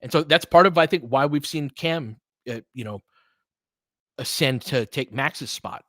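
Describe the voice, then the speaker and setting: A man's voice: medium pitch, measured pace, a bit bright, no echo, studio quality. A man doing casual live basketball play-by-play and analysis, conversational and openly rooting for his team with exclamations on big plays.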